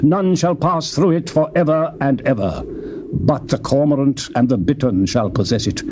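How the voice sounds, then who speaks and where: A British man giving a solemn, declamatory dramatized scripture reading, rising to soaring lines and dropping to hushed ones. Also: on the high side, a little hiss or hum. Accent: British English. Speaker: scripture reader.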